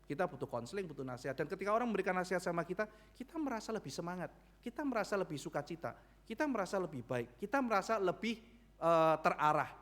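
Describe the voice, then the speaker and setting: Animated pastor preaching. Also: male voice, on the high side. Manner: animated, acts out biblical characters in quick dialogue; asks the congregation rhetorical questions. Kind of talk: sermon. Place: church.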